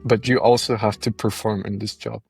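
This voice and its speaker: Low-voiced man from Costa Rica, halting. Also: little pitch movement, gravelly, over loud music. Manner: halting, repeats words, laughs between thoughts. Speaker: podcast guest. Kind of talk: casual interview chat.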